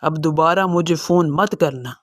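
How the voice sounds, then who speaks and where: A man's voice: fairly high pitch, measured pace, dry recording, clean studio sound, natural voice. A man playing a selfish, impatient young son who is dismissive, in a dramatized cartoon moral story. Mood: irritated, dismissive.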